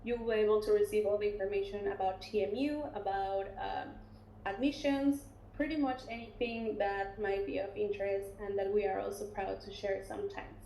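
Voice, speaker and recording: A woman with a mellow, soft-edged voice, talking through a webinar as a recruitment officer. She keeps it loose and conversational, with plenty of ums and restarts. Her computer mic picks up a roomy echo and faint background noise. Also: medium pitch, fairly quick, vocal fry. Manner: relaxed, chatty, many fillers and restarts. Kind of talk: webinar presentation and Q&A.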